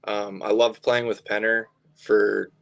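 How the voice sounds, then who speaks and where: Low-voiced young man chatting candidly. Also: little pitch movement, slow, vocal fry, fairly bright tone. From southern Alberta, Canada. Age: 20s. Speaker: baseball pitcher being interviewed. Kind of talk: casual interview chat.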